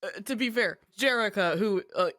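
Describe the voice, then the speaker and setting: A podcast co-host chats casually in a close, dry recording. The delivery is sardonic and salty, at an even middle pitch, as if recapping a story among friends. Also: moderate pace, dry recording. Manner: sardonic, freely swearing. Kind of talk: casual group recap chat.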